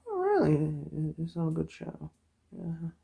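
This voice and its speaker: A soft-spoken ASMR performer role-playing an eye examiner, murmuring and often whispering in an unhurried, chatty, polite clinical patter with a breathy, husky voice. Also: mid-range voice, wildly swooping pitch.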